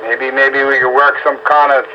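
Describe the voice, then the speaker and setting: A man's voice: medium pitch, little pitch movement, normal speed. A man rambling casually in plain words over two-way radio. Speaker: amateur radio operator. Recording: muffled radio link with clear static.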